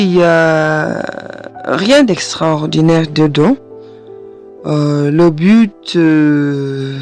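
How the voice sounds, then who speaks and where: A woman in her thirties hosting a solo podcast from a small studio, hoarse from a cold, speaking gently and encouragingly with hesitant pauses. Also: low-pitched. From Kenya. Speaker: podcast host and French teacher. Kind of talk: solo podcast monologue addressing the listener. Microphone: studio microphone.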